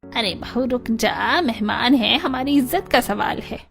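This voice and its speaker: A woman playing an anxious older mother-in-law in a family story, gently coaxing and placating. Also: on the high side, unhurried, vocal fry, faint music behind. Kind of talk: dramatised Hindi family story acting.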